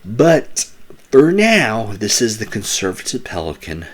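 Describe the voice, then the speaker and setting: A man giving opinionated, casual political commentary, slightly hoarse, often restarting his sentences. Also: on the low side, a little slow. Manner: animated, opinionated, with frequent restarts.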